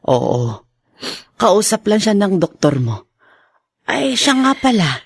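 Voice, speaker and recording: A woman's voice: medium pitch, big pitch swings, slow, slightly rough, no echo, clean studio sound, full character voice. A Filipino actress playing a tender older mother and grandmother, soothing and earnest, in radio drama style. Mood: comforting.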